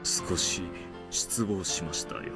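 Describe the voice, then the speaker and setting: An actor playing a formal, deliberate and composed sinister demon examiner in a Japanese visual novel. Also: male voice, sits low, gravelly. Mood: coolly disappointed. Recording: game audio with music.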